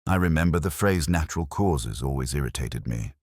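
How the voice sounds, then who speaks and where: Casting: male actor as brooding detective narrator, extremely low husky voice, literary and reflective, close dry sound. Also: animated, brisk, a little mellow, dry recording. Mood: brooding, melancholy. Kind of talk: scripted first-person detective narration, audio drama.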